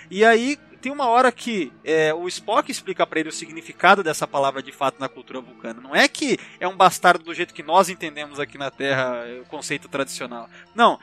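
Animated, high-pitched Brazilian man hosting a podcast. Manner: informal, chatty, enthusiastic. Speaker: podcast host. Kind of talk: casual group book discussion. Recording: background music under voice. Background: music.

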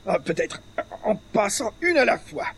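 A man's voice: sits high, sing-song, normal speed, a bit bright, slightly rough, exaggerated voice. An actor playing a pompous, hapless male columnist with florid, formal wording in a radio comedy. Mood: determined, self-satisfied. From Quebec. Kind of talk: 1940s-style radio comedy acting.

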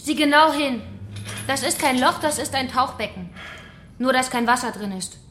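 A woman's voice: high-pitched, moderate pace, a bit bright, slight background noise. An actress playing a menacing female kidnapper in a radio crime drama, taunting her captive in a controlled way. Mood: matter-of-fact menace. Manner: taunting, controlled, familiar address.

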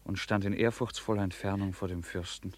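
Low-voiced male narrator, measured and formal. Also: fairly even intonation, dry recording. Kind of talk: scripted literary narration for radio drama.

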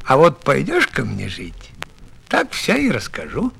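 Kindly, playful old village huntsman for a fairy tale , acted by a man; folksy, unhurried, very animated pitch. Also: creaky, a little hiss or hum, exaggerated voice. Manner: folksy, plain-spoken, playful. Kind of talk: storybook fairy tale reading.

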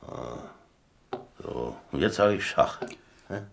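An actor playing a down-to-earth, self-assured prisoner in a radio drama, colloquial and matter-of-fact, patiently teaching. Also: male voice, a bass voice, lively intonation, slow, vocal fry, exaggerated voice. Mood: instructive. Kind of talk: naturalistic radio drama dialogue.

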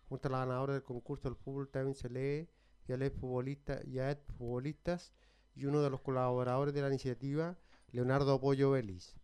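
A Chilean man speaking informally on amateur radio, haltingly reading aloud and repeating himself as he goes. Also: medium pitch, a little mellow, clean tone. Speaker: radio contributor reading a news report. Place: community radio programme at a psychiatric hospital.

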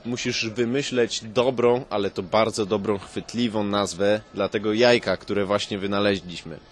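A man's voice: on the low side, fairly even intonation, moderate pace, a little hiss or hum, pitched below the performer's own voice, close to an everyday voice. Man acting a bossy, foul-mouthed ancient restaurant boss. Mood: pompous.

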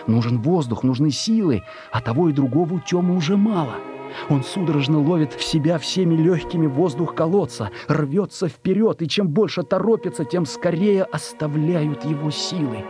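A man narrating a story for an audio production, expressive and dramatic, with deliberate pauses. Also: a little high. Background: music.

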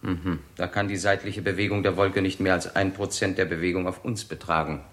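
A sober young physicist in a sixties radio play. His low voice sets out each step precisely and deliberately, like a methodical lecture. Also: little pitch movement, fairly bright tone. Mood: sober, analytical. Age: 20s. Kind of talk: 1960s German radio drama acting.

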